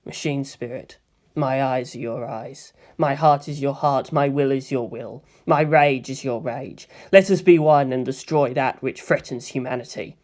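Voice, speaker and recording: A woman voicing a quiet, intense, menacing teenage boy pilot in a fan fiction reading. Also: extremely low pitch, a little slow. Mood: solemn, intense.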